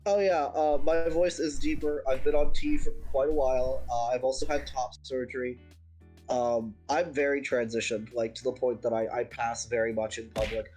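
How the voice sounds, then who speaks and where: A man's voice: mid-range voice, fairly even intonation, moderate pace, a little mellow, soft background music, poor audio. Congested young man, blunt and swearing. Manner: blunt, swearing, laughing often. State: congested from a sinus infection. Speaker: streamer's guest. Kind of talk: casual chat.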